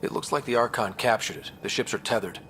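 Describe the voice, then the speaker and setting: An actor playing an earnest young space explorer and leader in a sci-fi game, casual but resolute. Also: male voice, lowish voice, fast, a bit bright. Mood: determined. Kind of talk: cinematic sci-fi game acting. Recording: clear background ambience noise.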